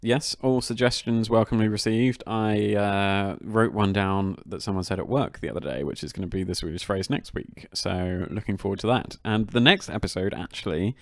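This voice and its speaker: Lower-voiced chatty podcast man. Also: moderate pace, few pauses, no room sound, clean studio sound. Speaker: podcast co-host. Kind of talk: casual history podcast chat.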